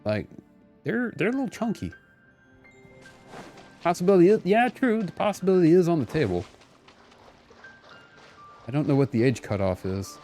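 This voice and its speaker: A man talks casually to his live audience while gaming. His voice sits a little high and moves around a lot in pitch, with a playful, exclamatory delivery. Faint game music plays behind him. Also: very animated, moderate pace, long pauses, warm tone. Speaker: game streamer. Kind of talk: casual live gameplay chat with viewers.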